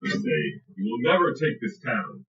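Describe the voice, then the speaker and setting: Animated man playing a tabletop game. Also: medium pitch, moderate pace, dull audio. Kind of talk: casual game chat.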